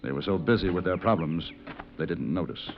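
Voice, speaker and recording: An actor playing an unflappable American gun-for-hire in an old radio western, dry and measured. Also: male voice, deep voice, background noise. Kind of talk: old-time radio western acting and narration. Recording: muffled vintage radio recording.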